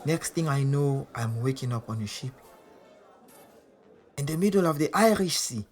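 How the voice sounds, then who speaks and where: A man's voice: mid-range voice, animated, moderate pace, faint music behind, natural voice. An actor playing a proud, defiant world champion boxer who narrates his own story in a boastful, wry way for an audio drama. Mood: wry narrating. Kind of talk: audio drama monologue and dialogue. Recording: clear background noise and ambience.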